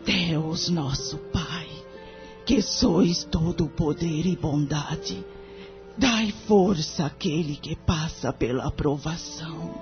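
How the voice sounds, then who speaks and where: A woman playing a kindly, humble older medium in an audio drama, with a Brazilian accent and a gentle, devout way of speaking. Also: low-pitched. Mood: prayerful. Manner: gentle, devout, unhurried speech. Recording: dull lo-fi audio with music behind.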